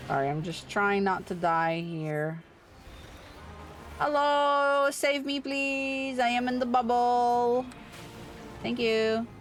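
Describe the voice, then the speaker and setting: A woman's voice: on the high side, level tone, slow pace, pauses often, soft background music. A woman livestreamer chatting casually with viewers while she plays an online game, playful, informal and sweary. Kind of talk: casual chat with viewers during gameplay.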